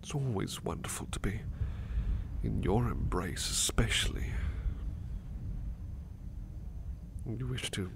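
A man playing a gentle, anxious, sleepy male servant in a soft ASMR roleplay, speaking hesitantly and repeating his words. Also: on the low side, normal speed, creaky. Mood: tender, teasing. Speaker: roleplay voice performer.

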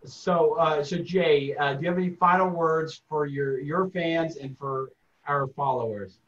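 A man's voice: a little high, a little slow, fairly bright tone, highs cut off. A man co-hosting an interview over a video call, with a dry, teasing manner. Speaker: co-host.

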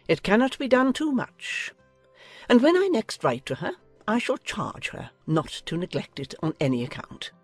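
An imperious old lady of rank in an audiobook drama. The voice is husky and highly animated, pompous and used to giving orders. Soft music plays behind it. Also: dry recording. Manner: pompous, commanding. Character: imperious, meddling older aristocratic lady.

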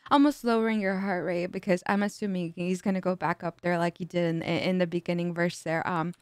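A woman's voice: lowish voice, fairly even intonation, brisk, clear, resonant tone, dry recording. A young woman whose first language is Spanish, reacting to music as a streamer in a bubbly casual way, switching between English and Spanish, speaking into a studio mic in a home streaming room. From United States, Mexican parents. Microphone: studio mic on boom arm, headphones on.

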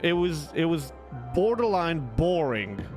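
A young man, a streamer, telling stories casually in a rambling, halting, conversational way into a close desk microphone. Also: fairly high pitch, big pitch swings, darkish tone, faint music behind. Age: young adult. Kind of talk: casual livestream storytelling.

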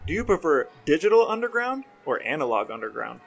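A man's voice: fairly high pitch, sing-song, a little fast, vocal fry, breathy edge, soft background music. A middle-aged man co-hosting a podcast, chatting casually and asking questions. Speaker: podcast co-host. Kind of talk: casual chat and interview questions.

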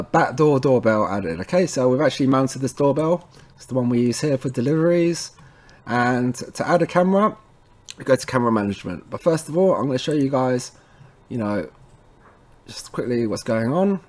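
A man presenting a technical tutorial in an informal way, talking viewers through each step and often checking in with a quick tag word. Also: mid-range voice. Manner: informal, addresses viewers as guys, frequent tag okay. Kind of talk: casual screen-recorded how-to walkthrough.

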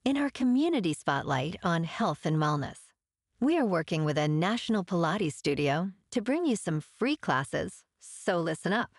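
Podcast news host, woman, deep voice with fry, animated script read, dead-dry booth. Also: normal speed, dry recording.

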